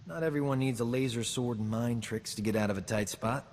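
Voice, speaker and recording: An actor playing a boastful, smooth-talking combat medic in a video game, joking and flattering himself. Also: male voice, medium pitch, fairly quick, long reverb tail, slight background noise, natural voice. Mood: cocky. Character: cocky boastful man, a frontier combat medic. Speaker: companion character in a video game. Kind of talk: video game character dialogue.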